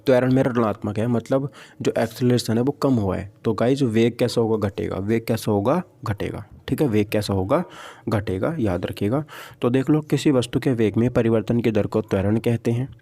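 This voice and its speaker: A man's voice: medium pitch, moderate pace, dark tone. A man teaching an exam-prep physics lesson step by step, asking questions, answering them himself and repeating key points.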